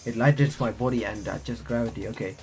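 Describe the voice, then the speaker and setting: A man does a let's-play, reading game text out loud in a halting, mid-pitched voice and dropping in casual asides. He stumbles over phrases and rereads them, with some game music playing behind him. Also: fairly even intonation. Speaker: let's-play video creator reading a visual novel aloud. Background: visual novel music.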